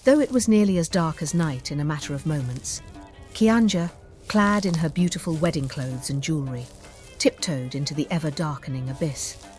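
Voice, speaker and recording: A woman with a low voice reads a dark fantasy tale as an audiobook storyteller. Her delivery is scripted and dramatic, with deliberate phrasing. Music plays softly behind her. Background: background music.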